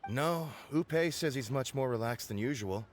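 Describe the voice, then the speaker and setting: A man's voice: mid-range voice, expressive, moderate pace, faint music behind, natural voice. An actor playing a dutiful male subordinate in a video game, reporting in an earnest, respectful way.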